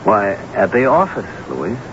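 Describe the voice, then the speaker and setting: Meek husband, low expressive voice. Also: male voice, unhurried, slight background noise, exaggerated voice. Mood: placating. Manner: hesitant, apologetic, soothing with repeated words. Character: meek, bored, placating husband of a temperamental writer. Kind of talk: old-time radio drama acting. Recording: muffled lo-fi radio drama tape.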